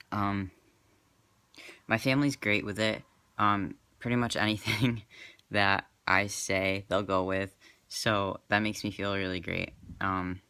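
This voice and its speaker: A young man in his twenties talks softly and haltingly, filling gaps with small pauses. His low, slightly crackly voice has a bright, clear edge. It sounds close and dry, with almost no room around it. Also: dry recording. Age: 20s. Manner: soft-spoken, hesitant, many fillers. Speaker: vlogger. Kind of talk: casual personal vlog.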